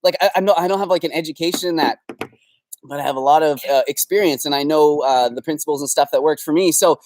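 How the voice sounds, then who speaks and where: A man's voice: a little high, fast talker, darkish tone, no echo, poor audio. A young Canadian man hosting a casual live Q&A from his backyard, upbeat and rambling with fillers. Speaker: host. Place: backyard, outdoors.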